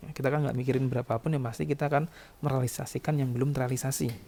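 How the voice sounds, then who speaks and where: A male lecturer with a noticeably gravelly edge to his voice. His tone is soft and mellow, sitting at an average male pitch. He explains things in an instructional, step-by-step way, punctuated by small check-in tags. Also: fairly even intonation, very fast. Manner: instructional, repeatedly checking with short tag words. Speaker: accounting lecturer.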